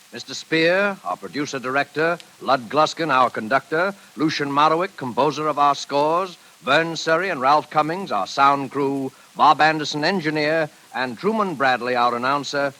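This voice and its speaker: A man hosting a 1940s radio show, reading scripted announcements in a formal, measured manner. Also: medium pitch, sharp tone, poor audio. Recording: vintage 1940s radio recording.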